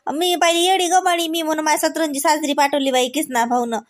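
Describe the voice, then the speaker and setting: A woman's voice: high voice, fairly even intonation, brisk, close to an everyday voice. A woman voicing a chatty, unpolished village sister-in-law in a comic cartoon, with a Varhadi Marathi accent and a folksy, rambling, word-searching manner. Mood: mock aggrieved.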